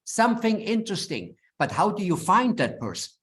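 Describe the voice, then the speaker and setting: Man, Swiss German accent, non-native English, middle-aged, somewhat high voice, earnest advisory talk. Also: very animated, normal speed, a bit bright. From Switzerland. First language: not English. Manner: earnest, plain-spoken, with frequent restarts. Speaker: webinar speaker.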